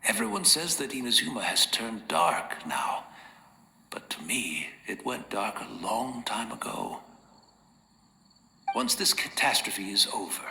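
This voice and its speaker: A frail old servant with a sharp, bright voice that rises and falls freely. He is courteous, formal and self-effacing, in a video game style, and sounds set in a roomy, echoing space. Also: mid-range voice, creaky, a little hiss or hum, heavily acted. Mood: wistful, resigned. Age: older. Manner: formal, deferential, rambling.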